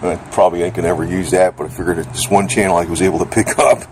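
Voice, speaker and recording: A man's voice: low voice, steady tone, rapid-fire, darkish tone, slight background noise. A middle-aged or older man giving a casual, off-the-cuff gear demonstration in a rambling, plainspoken, colloquial and mildly grumbling way. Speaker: vlogger demonstrating radio gear.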